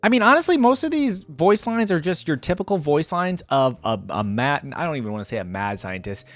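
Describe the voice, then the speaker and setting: A man's voice: fairly high pitch, big pitch swings, fairly quick, fairly bright tone, dry recording. A man hosting a reaction video, giving casual off-the-cuff commentary.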